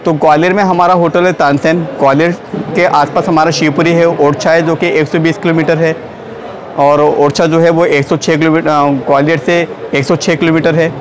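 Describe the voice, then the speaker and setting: A man's voice: a little high, moderate pace, noisy background. A middle-aged man from Gwalior, a tourism escort, steadily listing destinations and tour packages. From Gwalior, India. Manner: steady, informative, lists places and packages. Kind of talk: casual interview answer. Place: indoor exhibition hall stall. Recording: busy expo crowd behind.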